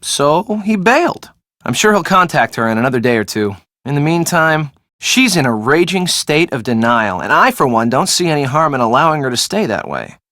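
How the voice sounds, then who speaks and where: Casual young american dude in a video game, defensive n glib, really animated intonation. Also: male voice, medium pitch, no room sound, studio quality. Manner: casual, conversational. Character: defensive, glib young American man, groom's best friend. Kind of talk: video game dialogue acting.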